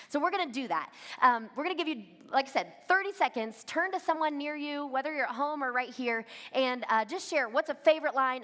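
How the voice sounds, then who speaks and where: A woman's voice: sits high, bright, forceful tone. A woman in her thirties preaching a conversational sermon, speaking directly and relatably to a congregation. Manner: relatable, addresses listeners directly with reflective questions. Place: large church venue with long reverb. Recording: reverberant hall, amplified sermon.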